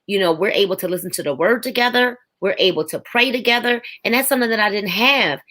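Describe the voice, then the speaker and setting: A woman's voice: medium pitch, animated, fast, a bit bright. A woman in her forties giving a faith testimony as a podcast guest, emphatic, with a preaching rhythm and repeated phrases. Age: 40s.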